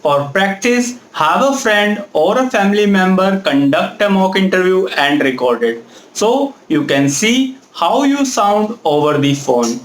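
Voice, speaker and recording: A man giving an instructional presentation as a career coach, speaking deliberately and emphatically straight to the viewer. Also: sits high, animated. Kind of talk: instructional presentation with numbered tips. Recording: echoey room.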